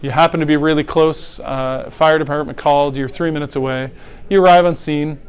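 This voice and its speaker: A man's voice: on the high side, steady tone, fairly quick. A middle-aged man, a paramedic educator, giving a conversational lecture that walks through scenarios and asks the audience rhetorical questions. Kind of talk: teaching lecture on airway decisions.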